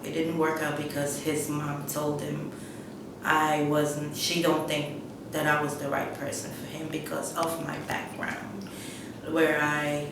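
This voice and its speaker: Middle-aged woman from Guyana, low and flat delivery, halting personal testimony, distant mic with clear background rumble. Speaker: interviewee telling her life story. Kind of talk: personal testimony, unscripted storytelling. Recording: echoey room, distant mic, rumbling noise.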